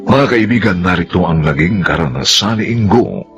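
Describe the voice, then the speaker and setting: A male host reads dramatic radio drama narration. His voice is very deep and animated, with a slightly dull sound and prominent music underneath. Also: moderate pace.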